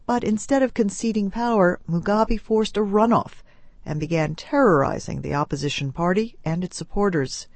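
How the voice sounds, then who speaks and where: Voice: medium pitch, normal speed, no room sound. A reporter reading a scripted news feature narration.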